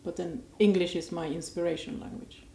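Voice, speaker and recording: A woman with a low, gravelly voice, a native Finnish speaker, talks calmly and thoughtfully on a conference panel. A roomy hall echo and faint background noise sit around her. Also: fairly quick.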